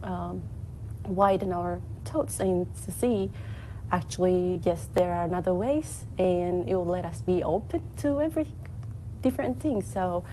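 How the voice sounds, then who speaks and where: A woman from Ethiopia speaking in a slow, halting way with many fillers, talking freely at a work event. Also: lowish voice, warm tone, a little hiss or hum, low-quality recording. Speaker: panel speaker. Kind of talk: unscripted talk at a work event.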